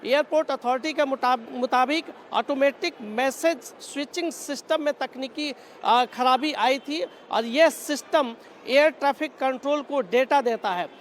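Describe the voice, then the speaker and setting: Very high-pitched male field reporter. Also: a little slow, hardly any pauses. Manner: repetitive, semi-improvised delivery. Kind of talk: live-style on-location reporting. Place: outdoors at an airport. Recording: faint outdoor traffic noise.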